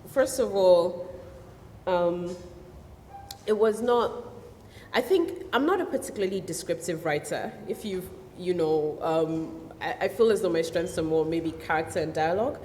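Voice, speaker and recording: Woman novelist from nigeria chatting onstage, yoruba first language, roomy echo, faint room hum behind. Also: lowish voice. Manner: animated, quick bursts with many fillers. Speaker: novelist guest. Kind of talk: festival stage conversation. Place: festival hall. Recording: echoey hall with long reverb.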